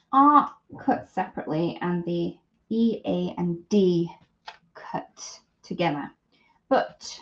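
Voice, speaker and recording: Female craft demonstrator, unhurried and chatty, self-deprecating, talks herself through each step; slightly muffled lo-fi sound. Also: mid-range voice, slight background noise. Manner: chatty, thinking aloud while working. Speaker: host of a live papercraft demonstration. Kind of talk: casual live craft tutorial chat.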